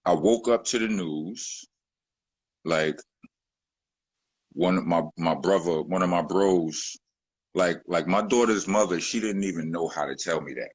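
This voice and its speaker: A man with a very deep, husky voice, speaking as a rapper in a sit-down interview. He rambles and circles back, filling his talk with "you know" and restarts. The sound is dry and close. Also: measured pace, pauses often, no room sound, low-quality recording. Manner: rambling, many fillers and restarts. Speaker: rapper, guest.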